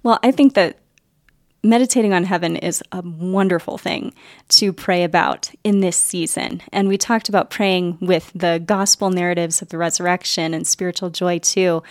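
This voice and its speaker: A woman hosting a faith podcast, speaking in a thoughtful, earnest way with gentle enthusiasm. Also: lowish voice, no echo, studio quality. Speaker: podcast host. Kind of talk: conversational religious podcast talk.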